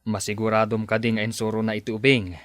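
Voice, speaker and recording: A man's voice: fairly low pitch, monotone, fast, fairly bright tone, no echo, clean studio sound, close to an everyday voice. A man acting in a radio drama as a sensible, questioning boss and loyal friend, speaking expressively. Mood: probing.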